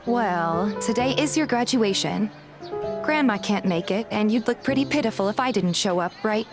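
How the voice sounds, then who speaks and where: A woman's voice: medium pitch, animated, moderate pace, close to an everyday voice. A woman playing a guarded, feisty young heroine, narrating a romance game in the first person and acting out her dialogue. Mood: grudging. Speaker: narrator and lead in a fan dub. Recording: music behind the voice. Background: music.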